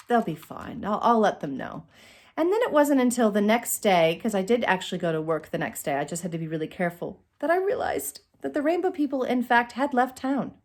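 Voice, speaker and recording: A middle-aged woman telling a personal comic story to camera in a theatrical, expressive way with dramatic pauses. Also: mid-range voice. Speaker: storyteller, channel host. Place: indoors, small curtained room. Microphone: phone at arm's length.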